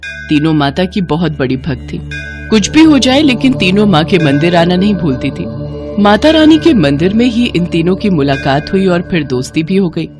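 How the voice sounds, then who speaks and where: A woman's voice: lowish voice, big pitch swings, moderate pace. A woman narrating a scripted devotional story in a dramatic storytelling manner. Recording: music behind the voice. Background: background music.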